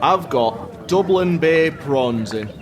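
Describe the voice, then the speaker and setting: Cheery Scottish fishmonger played by a man, radio play, highish and animated, eager banter, busy background. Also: takes it slowly, a crowd in the background. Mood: jovial banter. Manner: jokey, salesman-like banter. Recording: busy street bustle behind voice.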